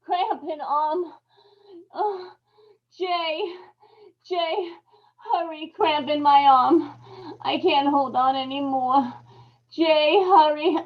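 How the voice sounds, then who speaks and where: A woman's voice: high voice, takes it slowly, pauses often, dull audio. A woman acting a desperate, guilt-ridden killer in an old-time radio horror play, pleading in broken phrases and cries. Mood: exhausted, crying despair.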